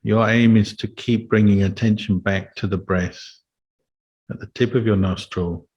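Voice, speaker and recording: Low, even-toned man guiding meditation. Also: husky, muffled recording. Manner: calm, measured, instructive. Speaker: Buddhist monk and meditation teacher. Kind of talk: guided meditation and Dhamma talk.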